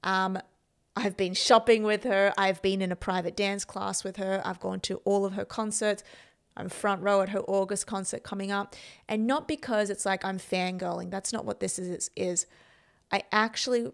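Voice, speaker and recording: A woman's voice: mid-range voice, fairly even intonation, normal speed, creaky. A woman in her thirties with an Australian accent, telling motivational personal stories in an emphatic, self-assured way, close on a podcast microphone. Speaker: podcast host and performance coach. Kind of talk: motivational personal storytelling to camera. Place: indoor room. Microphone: podcast microphone on boom arm, close up.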